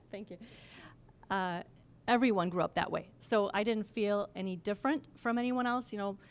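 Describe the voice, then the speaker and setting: A woman from Poland, telling her life story at a panel talk with frequent laughs and halting restarts. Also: mid-range voice. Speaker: panel speaker. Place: office event space with audience.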